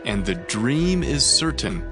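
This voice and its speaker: A man's voice: medium pitch, sing-song, takes it slowly. A solemn prophet addressing a king in a scripture drama. His earnest, declamatory voice ranges very widely, with faint music underneath. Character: solemn, earnest male prophet interpreting a king's dream.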